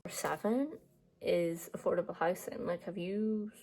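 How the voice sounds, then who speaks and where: A woman's voice: fairly low pitch, fairly even intonation, normal speed, darkish tone, soft background music. A woman of twenty-two with an Irish accent, chatting casually and bluntly to camera as a vlogger. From Ireland. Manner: blunt, chatty, laughs between points.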